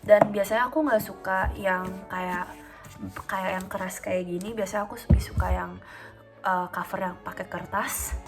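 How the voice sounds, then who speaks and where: A woman of twenty-one talking casually in a home vlog, calm and chatty with frequent fillers. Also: mid-range voice, normal speed, music in the background. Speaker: vlogger.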